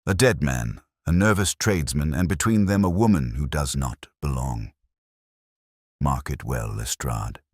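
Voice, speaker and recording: A man's voice: extremely low pitch, animated, a little slow, breathy edge, dry recording, studio quality, heavily acted. A man voicing a keen, imperious master detective in an audiobook, speaking formally and precisely, with a lecturing emphasis. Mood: grave, keen. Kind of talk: audiobook detective story dialogue.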